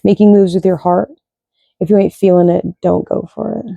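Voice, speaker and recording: A woman with a low voice, chatting loosely and rambling as she reads cards, swearing and thinking aloud. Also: steady tone, moderate pace, creaky, warm tone, no room sound. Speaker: card reader. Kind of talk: casual rambling spiritual chat.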